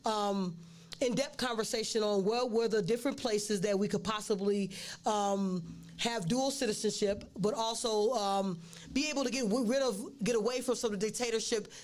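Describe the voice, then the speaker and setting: A woman's voice: medium pitch, normal speed, a little mellow. A woman hosting a casual interview, rambling and restarting her words with many fillers. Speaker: host.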